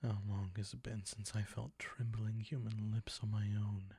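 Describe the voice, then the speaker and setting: A man playing a predatory, possessive vampire count in an intimate audio roleplay, teasing and commanding in a husky, breathy voice that often nears a whisper. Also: on the low side, flat delivery, dark tone, no room sound, full character voice. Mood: seductive, possessive. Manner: slow, deliberate, silky teasing, commanding.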